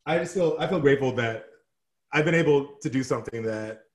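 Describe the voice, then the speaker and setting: Podcast guest, man, 30s, middle pitch, playful and animated storyteller with sass. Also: a little fast. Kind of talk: casual interview chat.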